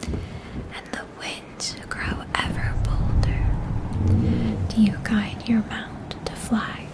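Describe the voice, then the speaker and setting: Female ASMR narrator for fantasy roleplay, breathy whisper, soothing and even, with little melodic movement. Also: a little high. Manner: gentle, unhurried, soothing.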